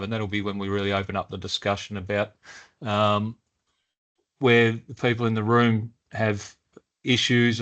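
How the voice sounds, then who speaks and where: A man running a workshop meeting, relaxed and loose with his fillers. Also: on the low side. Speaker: meeting facilitator. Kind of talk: workshop introductions. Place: meeting room.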